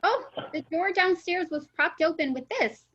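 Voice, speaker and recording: A woman's voice: very high-pitched, normal speed, fairly bright tone, a little hiss or hum. A woman reading the part of an outspoken, fretful young female party guest at an amateur table read, on a slightly dull microphone. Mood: concerned.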